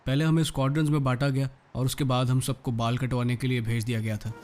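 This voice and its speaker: A man's voice: mid-range voice, level tone, fast, darkish tone. A man speaking earnestly as a cadet in an interview.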